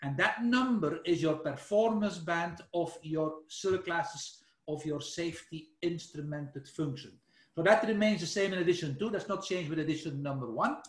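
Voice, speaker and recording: A man's voice: on the high side, moderate pace, echoey room. A man from Belgium with a Flemish accent, presenting a technical webinar in a loose, explanatory way with self-corrections. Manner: informal and explanatory, with self-corrections and "let's say" fillers. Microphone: screen-shared webinar, speaker not seen.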